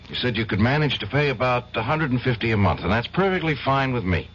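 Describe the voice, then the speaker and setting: An actor playing a polite, hesitant young defense attorney in a 1970s radio drama. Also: male voice, medium pitch, sing-song, a little fast, a bit bright. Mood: uneasy. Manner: polite, hesitant, nervous laughs. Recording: muffled old radio recording, hiss.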